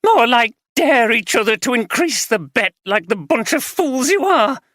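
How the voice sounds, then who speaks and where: A reader voicing Christine, an angry, slightly slurring middle-aged wife, in an audiobook. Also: female voice, on the high side, expressive, a little slow, a bit bright, no room sound, studio quality, voice pushed up. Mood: bitter, furious. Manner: bitter, contemptuous, slurring slightly. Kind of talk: audiobook character reading.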